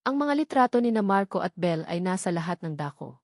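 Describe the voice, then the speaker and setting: A woman's voice: lowish voice, animated, quick pace, a little mellow, dry recording, studio quality. Woman narrating a dramatic romance story.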